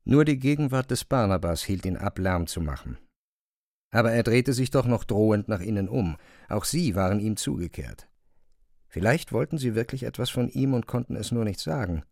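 A man's voice: on the low side, expressive, normal speed, vocal fry, a little mellow, no room sound. A man narrating a classic novel as a scripted literary reading, close to a studio microphone.

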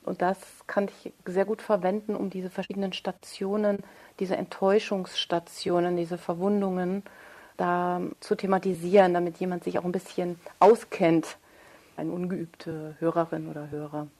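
A woman's voice: on the low side, level tone, moderate pace, dark tone. A woman speaking as an interview guest and author, explaining her work in a thoughtful, articulate and reflective way.

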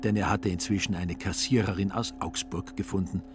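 A man with a fairly low voice narrates a radio play. He tells the story in a dry, ironic way, with music clearly playing behind him. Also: steady tone, brisk.

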